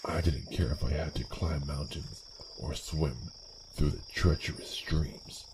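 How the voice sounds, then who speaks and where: Man voicing a very deep, vengeful dragon assassin. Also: steady tone, background noise. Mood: hateful menace. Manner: brooding, intense, halting delivery with breathy near-whispered phrases. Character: vengeful male dragon assassin, fiery and hate-driven. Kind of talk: dramatic first-person roleplay monologue, ASMR.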